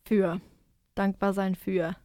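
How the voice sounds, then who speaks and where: A woman's voice: mid-range voice, little pitch movement, slow pace, warm tone, no room sound, studio quality. A young woman co-hosting a podcast, chatting in a playful, teasing way and asking her partner questions. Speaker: podcast co-host. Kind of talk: casual chat.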